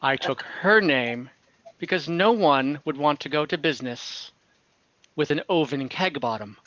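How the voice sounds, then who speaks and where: A man voicing a weary, frank husband and businessman in a fantasy tabletop game, speaking in a deliberate, matter-of-fact way. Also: fairly high pitch, lively intonation, a bit bright, no echo, dull audio. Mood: frank, resigned.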